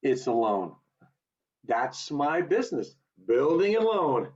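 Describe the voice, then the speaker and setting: Man acting as kindly small-town loan man, husky, lively pitch, muffled video-call audio, radio play. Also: slow, heavily acted. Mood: warm concern. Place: home, over video call.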